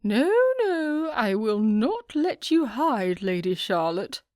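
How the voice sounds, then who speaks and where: A woman voicing a fussy, gushing older society hostess who talks in a simpering, excitable way. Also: slow, no echo, clean studio sound. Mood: insistent. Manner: simpering, excitable, high-pitched.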